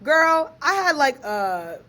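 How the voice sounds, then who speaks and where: A fairly high-voiced young woman talks with a podcast host. She is lively and slangy and laughs often. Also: slow pace. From Ghana, raised in Maryland. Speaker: guest, author and researcher. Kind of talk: casual podcast interview.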